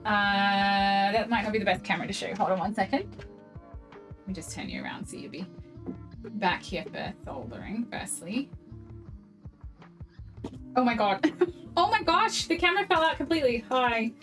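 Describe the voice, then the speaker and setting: A woman's voice: mid-range voice, normal speed, pauses often, fairly bright tone, soft background music. A young woman with a New Zealand English accent chatting casually on a crafting live stream, laughing often and greeting viewers in a sing-song way.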